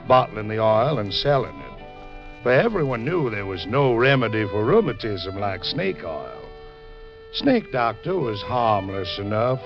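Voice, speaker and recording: Guy doing a wry backwoods yarn spinner on old radio, medium pitch, dull lo-fi recording. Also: natural voice. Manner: folksy, wry storytelling. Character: folksy backwoods storyteller, wry and unhurried. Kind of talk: 1940s radio drama narration. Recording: muffled old radio broadcast. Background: musical score.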